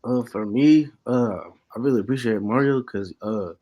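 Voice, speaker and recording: A man in his late twenties, an artist, talking casually and haltingly on a panel with lots of "you know". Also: mid-range voice, warm tone. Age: late 20s. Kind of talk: casual unscripted artist talk.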